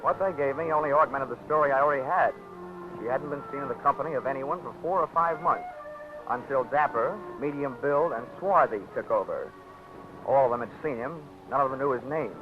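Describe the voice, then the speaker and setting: An actor in hard-boiled 1940s radio style, playing a cocky, wisecracking insurance investigator who also narrates, with a breezy delivery. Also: male voice, medium pitch, fairly quick, music in the background, lightly acted. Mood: narrating. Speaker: narrator and lead. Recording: dull old radio broadcast with strong hiss.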